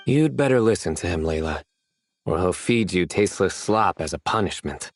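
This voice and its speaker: Low, deadpan joker. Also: male voice, moderate pace. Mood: dry, teasing. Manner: deadpan, dry, teasing. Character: laid-back, deadpan young man fond of puns. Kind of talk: video game voice acting. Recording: music playing behind the voice.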